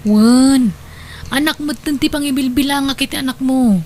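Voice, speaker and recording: A woman's voice: sits high, moderate pace, darkish tone, a little hiss or hum, close to an everyday voice. A woman playing a devoted, defiant adult daughter who speaks firmly and insistently with an Ilocano accent, in an audio drama. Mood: generous, caring. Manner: firm, insistent.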